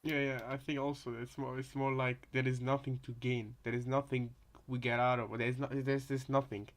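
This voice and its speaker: A man's voice: medium pitch, flat delivery, fairly quick. Rambling male reactor, casual commentary. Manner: rambling, repeats and reworks phrases. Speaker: reaction video host.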